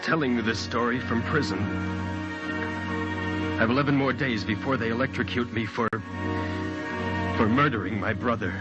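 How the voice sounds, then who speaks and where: An American actor in his twenties playing an anguished young condemned man in a 1940s radio drama, halting and repeating himself as he pleads his innocence. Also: medium pitch, lightly acted. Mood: anguished protest. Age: 20s. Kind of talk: 1940s radio drama acting, first-person narration. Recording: dull old radio recording, loud music.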